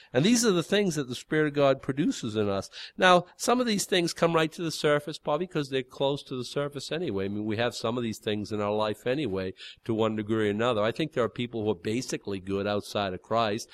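Folksy preacher with a big voice. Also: male voice, mid-range voice. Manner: folksy, rambling, with frequent fillers and restarts. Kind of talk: informal sermon.

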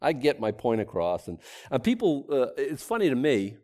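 Animated Boston-accented man preaching. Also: medium pitch, normal speed. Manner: rambling, self-deprecating, with frequent restarts. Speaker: pastor. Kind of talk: conversational Bible teaching sermon.

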